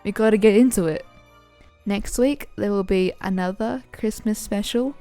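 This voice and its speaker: A woman narrating and hosting fan fiction audio in an expressive storytelling style. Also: mid-range voice, moderate pace. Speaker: narrator and host. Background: background music.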